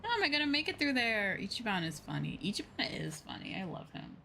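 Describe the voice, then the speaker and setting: Woman, VTuber streamer, lively pitch, casual playful chat, self-muttering, faint noise. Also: fast talker, creaky.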